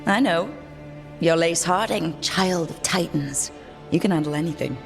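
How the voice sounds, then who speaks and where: A woman playing a steady, supportive adventurer and leader in a video game, calm and matter-of-fact. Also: on the low side, measured pace, creaky, faint music behind.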